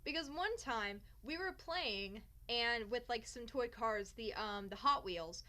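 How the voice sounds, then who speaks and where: Bright, high-pitched young woman telling a story. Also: expressive, measured pace. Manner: theatrical, sarcastic, self-mocking. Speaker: vlogger telling a personal story. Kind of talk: casual storytime chat to camera.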